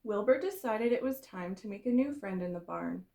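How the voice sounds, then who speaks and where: A woman reading a storybook aloud for young children, in the expressive, explanatory way of a kindergarten teacher. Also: medium pitch, normal speed, creaky.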